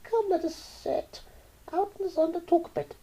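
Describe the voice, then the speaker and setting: A reader putting on a foul old storybook aunt who coaxes in a thin, high voice. The pitch climbs far above the narration, with a warm, mellow tone. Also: fairly even intonation, normal speed. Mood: coaxing.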